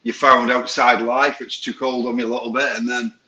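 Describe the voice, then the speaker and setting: A man's voice: mid-range voice, flat delivery, fairly quick, sharp tone. A man, a boxing promoter appearing as a guest, telling his story informally with many restarts. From Stoke-on-Trent area, England.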